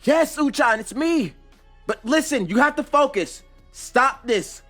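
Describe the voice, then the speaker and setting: A man's voice: extremely high pitch, animated, unhurried, pushed and bright, soft background music, pitched above the performer's own voice, heavily acted. A man voicing an earnest, determined young male hero, urgent and pleading.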